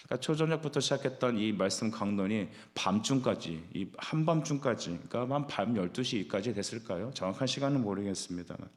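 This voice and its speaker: A man's voice: on the low side, expressive, fairly quick, few pauses. A man preaching an expository sermon as a pastor, exhorting his listeners and often putting rhetorical questions to them.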